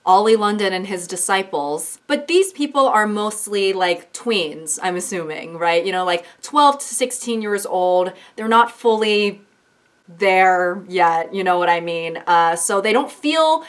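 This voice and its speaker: A woman's voice: mid-range voice, a little slow. A woman hosting a casual commentary vlog, chatty and sarcastic. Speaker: YouTuber.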